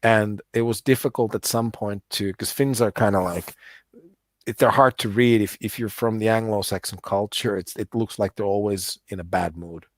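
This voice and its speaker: A man's voice: fairly low pitch, steady tone, normal speed, dry recording, studio quality. A middle-aged man from the United States, a musician talking about his work in a casual interview, rambling with many restarts. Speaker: musician guest.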